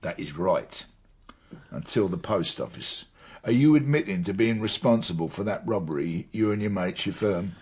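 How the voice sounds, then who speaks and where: A middle-aged detective in a radio crime drama. His voice is husky and moves widely up and down as he probes. He is relentless and dryly wry. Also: sits low, animated, moderate pace, a little mellow. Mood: grave, intense. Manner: probing, insistent, wry. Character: relentless, seasoned male detective pressing a suspect.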